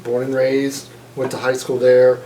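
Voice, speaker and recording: A man in his fifties from Mississippi, giving an oral history interview in a measured, thoughtful way with frequent fillers and restarts. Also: medium pitch, monotone, warm tone, roomy sound, a little hiss or hum, low-quality recording. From Mississippi, USA. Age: 50s.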